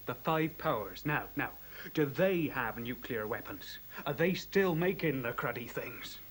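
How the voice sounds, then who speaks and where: A man's voice: mid-range voice, lively intonation, measured pace, fairly bright tone, husky. An actor playing an agitated, cynical young man in a radio play, stammering, slangy and argumentative. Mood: agitated, bitter. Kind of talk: radio drama acting. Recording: hissy old radio play recording.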